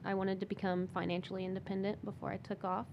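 A woman's voice: mid-range voice, completely flat delivery, fast talker, creaky, dull audio, background noise. A young woman speaking casually and personally as a guest in an interview.

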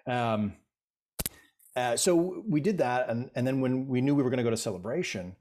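A middle-aged man talks as an interview guest, voice at an ordinary middle pitch. He rambles through his stories with frequent restarts. He pokes fun at himself as he goes. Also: lively intonation, normal speed, darkish tone, a little hiss or hum. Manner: rambling, self-deprecating storyteller with frequent restarts. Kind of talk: casual interview chat.

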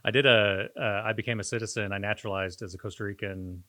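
A man co-hosting a podcast in casual, reflective conversation, pausing and searching for his words as he talks. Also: fairly low pitch, fairly even intonation, bright, forceful tone. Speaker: podcast co-host.

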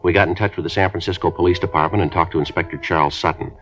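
An actor playing a police detective who narrates a 1950s radio drama in a clipped, matter-of-fact delivery. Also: male voice, low voice, fairly even intonation, racing, fairly bright tone, faint music behind. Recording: muffled old radio broadcast.

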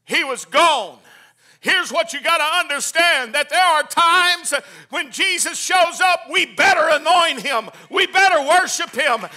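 A man preaching a Pentecostal sermon, swinging from hushed, quick asides to shouted hallelujahs. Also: very high voice. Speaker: pastor. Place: church.

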